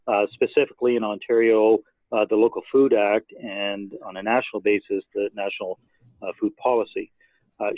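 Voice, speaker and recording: Low-voiced man on a tinny line. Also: no room sound. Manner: earnest, many filler uhs. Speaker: project presenter. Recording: tinny phone-like call audio.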